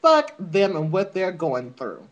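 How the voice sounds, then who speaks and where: A woman's voice: on the low side, sing-song, slow pace, muffled recording. A woman ranting in a casual vlog, sassy and emphatic, calling her viewers honey. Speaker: vlogger.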